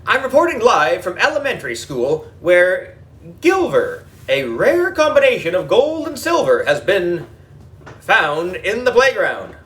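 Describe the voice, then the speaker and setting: A man's voice: high-pitched, huge pitch swings, measured pace, fairly bright tone, far from the mic, heavily acted. A man voicing an earnest, polite woman television reporter in a children's audiobook, with formal on-air delivery. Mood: announcing.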